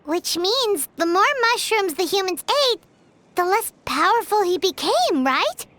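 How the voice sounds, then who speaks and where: A woman voicing a small, excitable female fairy companion in a video game, with a squeaky childlike voice and a cheeky, dramatic manner. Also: unhurried, no echo, exaggerated voice. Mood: chatty, wry. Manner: exclamatory, chatty. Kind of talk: video game character acting.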